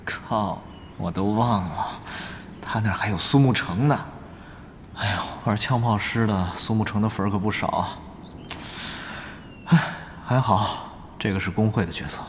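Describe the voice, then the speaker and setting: A man playing an excitable, comically dramatic guild player in an audio drama, exclaiming and grumbling. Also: lowish voice, normal speed, pauses often, slight background noise, lightly acted. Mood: exasperated. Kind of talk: Chinese audio drama acting.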